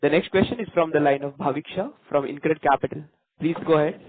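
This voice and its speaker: A man's voice: on the high side, quick pace. A man moderating a conference call, making formal scripted announcements and introducing callers. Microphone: conference call line. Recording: narrow tinny phone line.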